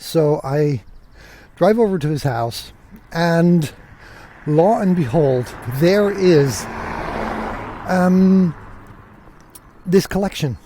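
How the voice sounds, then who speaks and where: A man's voice: fairly high pitch, lively intonation, extremely slow, pauses often, dark tone, slight background noise. A man hosting a solo podcast, telling stories in a casual, conversational way. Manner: conversational, acts out remembered dialogue. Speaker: podcast host.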